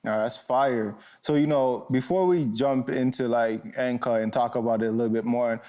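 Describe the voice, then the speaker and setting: Casting: young male host from Canada, relaxed, filler-heavy questions, mid pitch. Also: normal speed. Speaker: interview host. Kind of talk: casual interview questions.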